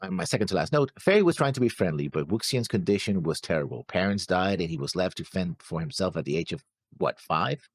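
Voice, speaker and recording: Low-voiced, halting man with swinging intonation. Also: animated, dry recording. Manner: halting, searching for words. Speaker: reaction show co-host. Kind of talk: casual discussion, talking through notes.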